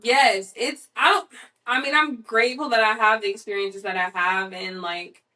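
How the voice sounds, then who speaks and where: A woman in her twenties co-hosting a casual podcast, talking bluntly and candidly in a fairly even tone. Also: mid-range voice, moderate pace, recorded from a distance, low-quality recording. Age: 20s. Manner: blunt, candid, says she sounds monotone. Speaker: podcast co-host. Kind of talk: casual chat.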